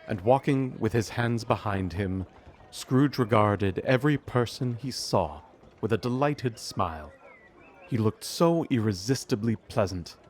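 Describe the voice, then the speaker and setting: A man narrating a storybook audio drama in a measured storytelling manner. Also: on the low side, darkish tone. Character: omniscient storybook narrator of a Christmas tale.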